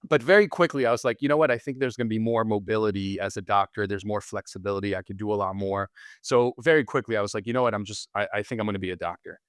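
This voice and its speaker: Low-voiced man, rambling with restarts. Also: fairly even intonation, fast talker, dry recording, clean studio sound. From Israel. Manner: rambling, frequent restarts and repeated words. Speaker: podcast guest, surgeon. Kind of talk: casual interview conversation.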